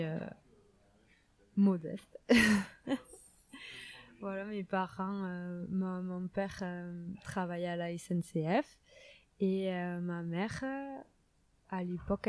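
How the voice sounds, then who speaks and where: A woman's voice: fairly low pitch, steady tone, slow, pauses often, dark tone, no echo. Hesitant woman with a southwestern French accent. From southwest France. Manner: hesitant, many fillers, laughs easily. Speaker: guest. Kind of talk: casual interview answers.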